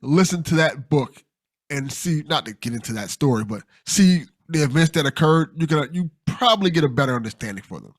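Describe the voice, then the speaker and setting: Male podcast host, lively swooping intonation, dry close sound, casual, theatrical question delivery, quick to laugh. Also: fairly high pitch, dry recording.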